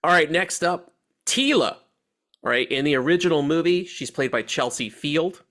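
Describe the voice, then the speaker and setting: A man hosting a movie podcast, chatting casually and giving animated opinions with slang and swearing. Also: fairly high pitch, moderate pace. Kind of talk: casual chat, film discussion.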